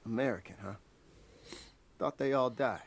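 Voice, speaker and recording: A man as a dry, medium-pitched mobster. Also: normal speed, natural voice. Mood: dry, knowing. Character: laconic mob associate visiting a farm. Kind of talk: naturalistic video game dialogue.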